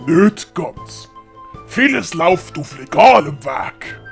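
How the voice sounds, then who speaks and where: A woman with a Swiss German accent voicing a scheming, wheedling older great-uncle in an audio story, gravelly and oily. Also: fairly low pitch, big pitch swings, takes it slowly, faint music behind, voice pushed down, full character voice. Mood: smooth, patronizing.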